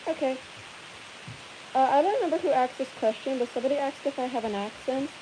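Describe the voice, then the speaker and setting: A woman's voice: high voice, fast talker, mellow, low-quality recording. A young woman hosting a casual live Q&A, rambling and giggling as she chats with viewers. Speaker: livestream host answering viewer questions. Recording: hissy background noise.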